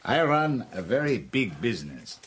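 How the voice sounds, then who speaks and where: An actor playing a suave, condescending older spymaster villain in a radio drama. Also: male voice, fairly low pitch, sing-song, normal speed, fairly bright tone, a little hiss or hum. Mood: urbane.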